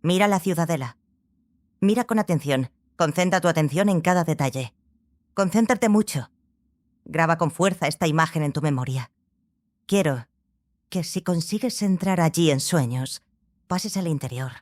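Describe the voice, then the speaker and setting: A woman playing a composed, stern sorceress who instructs her pupil in a fantasy audiobook, measured and authoritative. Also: low-pitched, lively intonation, darkish tone, no room sound. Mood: commanding.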